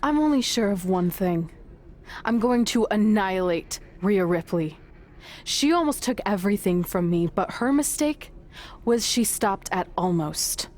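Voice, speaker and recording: A woman's voice: medium pitch, lively intonation, a little slow, a little mellow, lightly acted. An actress playing a smug, self-assured young female pro wrestler in a video game cutscene. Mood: grimly determined.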